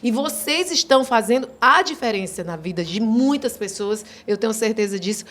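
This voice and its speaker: A woman hosting an interview in a lively, colloquial manner. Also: on the high side, fairly quick. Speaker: host.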